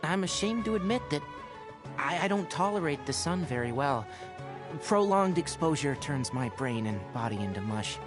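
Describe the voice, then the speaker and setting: A youthful male fox-eared forest scholar from a video game, acted by a man, speaks in a fairly high, lively voice. He explains things articulately and earnestly, with dry patience and a gentle wryness. Music plays behind him. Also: moderate pace, natural voice. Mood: thoughtful, matter-of-fact. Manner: articulate, instructive, gently wry. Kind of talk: scripted game character voice lines.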